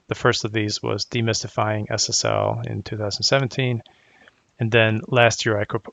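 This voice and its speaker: A middle-aged man from Croatia giving a prepared conference presentation as a technical architect, speaking in a measured, methodical, explanatory way. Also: on the low side, gravelly, fairly bright tone, no room sound.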